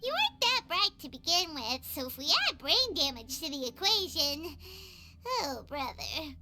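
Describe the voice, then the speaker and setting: Very high, bouncy little fairy girl, swoops all over, talks in third person, game music behind. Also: big pitch swings, unhurried, heavy background noise, heavily acted. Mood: teasing. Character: tiny chirpy female fairy companion, excitable.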